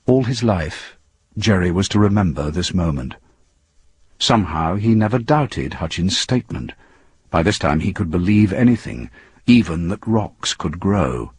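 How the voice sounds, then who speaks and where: A man with a husky voice narrating a scripted story in a dramatic reading style. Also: low-pitched, expressive, measured pace, dry recording. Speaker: audiobook narrator.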